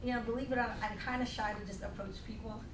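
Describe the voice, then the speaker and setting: A woman's voice: steady tone, quick pace. A woman from the Philippines with a Filipino accent, English being her second language, giving a blunt, direct training talk to a group as a financial professional and checking in with "right?" and "okay?". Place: meeting room. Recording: echoey room, voice from far off, rumbling background noise.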